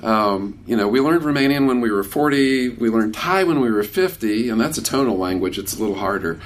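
A man's voice: mid-range voice, lively intonation, fairly quick. Man in his sixties fielding questions. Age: 60s. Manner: warm, conversational, many restarts. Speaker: workshop speaker. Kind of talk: casual talk with questions from the audience.

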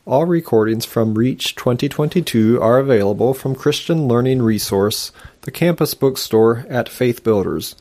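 A man's voice: mid-range voice, lively intonation, moderate pace, hardly any pauses, darkish tone. A man reading out a closing announcement for a recording. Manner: plain, read-out delivery.

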